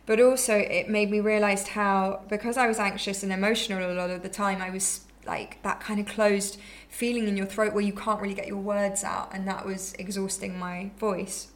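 A woman's voice: medium pitch, flat delivery, normal speed, few pauses. A woman in her thirties, a yoga teacher, telling her own story candidly and reflectively, with frequent ums and restarts. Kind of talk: personal storytelling monologue.

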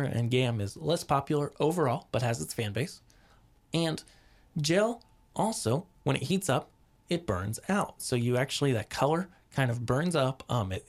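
Male podcast host, hoarse with a cold, gravelly, casual explainer. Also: mid-range voice. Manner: relaxed explainer with many ums and restarts. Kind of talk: casual lighting podcast talk.

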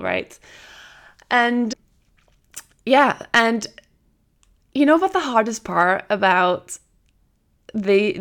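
Podcast host, thirties, female; gravelly fry, casual and excitable, heavy on "like". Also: medium pitch. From Norway. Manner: chatty, frequent "like" fillers, excited retelling. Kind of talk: casual solo podcast chat.

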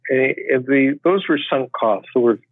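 A man speaks over a tinny, narrow phone line. His voice is a little husky and sits at an ordinary pitch for a man. He answers at a measured pace and hesitates often with uh's. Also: dry recording. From Canada. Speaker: podcast guest, immigration expert. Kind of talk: interview answers.

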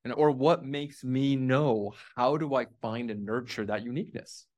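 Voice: medium pitch, normal speed, a little mellow. An interview host asking reflective questions, with frequent murmurs of agreement. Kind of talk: conversational interview.

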